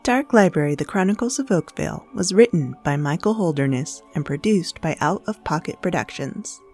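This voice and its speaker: A woman's voice: deep voice, lively intonation, moderate pace, a little mellow, faint music behind. A woman reading scripted podcast credits and appealing to listeners as the show's announcer.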